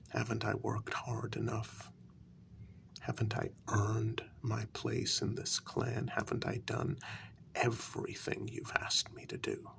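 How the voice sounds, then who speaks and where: A man playing a wounded, bitter vampire in a soft-spoken ASMR role-play, speaking in hushed, deliberate half-whispers with a gravelly voice. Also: lowish voice, exaggerated voice. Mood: pleading, intense. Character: wounded, bitter male vampire confronting his queen.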